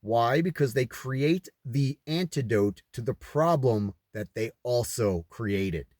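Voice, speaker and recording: A man's voice: mid-range voice, animated, takes it slowly, darkish tone, no room sound, clean studio sound. A man reading aloud and adding his own off-the-cuff commentary, with emphatic, rhetorical delivery. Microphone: recording himself on camera.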